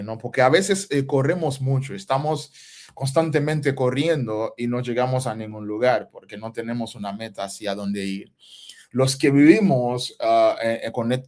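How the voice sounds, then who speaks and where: A man from Haiti with a Haitian accent touched by Dominican Spanish, a pastor explaining ideas patiently with everyday examples. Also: mid-range voice. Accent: Haitian with Dominican influence. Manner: didactic, uses everyday examples, rising questions. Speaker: pastor, guest. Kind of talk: reflective interview answers.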